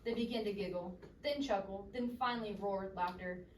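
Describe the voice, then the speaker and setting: A woman's voice: mid-range voice, moderate pace. A woman reading a children's story aloud expressively and interactively, recorded at a distance in an echoey, noisy room. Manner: expressive, questioning teacher-like delivery.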